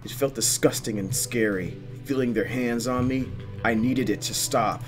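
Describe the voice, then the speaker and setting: A man hosting a gaming video, reading game text aloud and commenting with expressive, dramatic delivery. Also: medium pitch, normal speed. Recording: game music behind. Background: game music.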